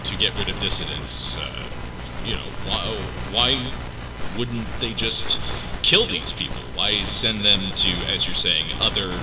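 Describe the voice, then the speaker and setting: Male actor as low-voiced radio interviewer, very animated intonation, hesitant and curious, on noisy vintage tape. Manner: halting, probing, polite. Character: curious, hesitant male late-night radio show host. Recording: distant, noisy old cassette radio broadcast.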